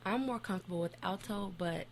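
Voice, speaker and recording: A young woman giving short, soft-spoken answers as a guest in a radio interview. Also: medium pitch, brisk, gravelly. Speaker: guest singer. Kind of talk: casual interview.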